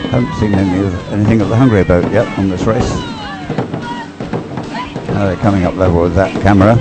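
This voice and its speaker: A man giving unscripted live race commentary, explaining as he goes in a hesitant, halting way and repeating words. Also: lowish voice. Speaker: sports commentator.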